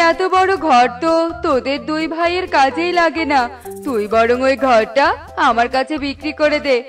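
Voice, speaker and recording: A woman's voice: sits high, natural voice. A woman voicing a greedy, scheming male crow in a cartoon, with a wheedling, taunting manner. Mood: sly, insinuating. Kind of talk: cartoon character voice acting.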